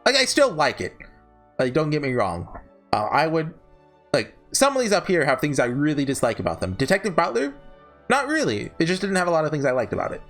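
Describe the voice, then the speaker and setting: Male video host, lightly high-pitched and very lively in intonation, for off-the-cuff ranking commentary with a rambling, self-correcting delivery. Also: brisk, faint music behind. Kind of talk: casual unscripted tier-list commentary.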